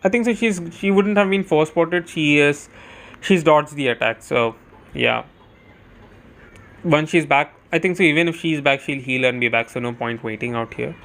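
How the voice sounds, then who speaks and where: A man's voice: on the high side, moderate pace, vocal fry, fairly bright tone. A man giving casual, chatty live commentary on a game as a streamer, thinking aloud as he goes.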